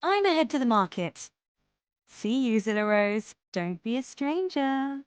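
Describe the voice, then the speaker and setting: A teasing young woman in a video game. Her voice is high, breezy and unhurried, with a dry sound. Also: sing-song, smooth, dry recording.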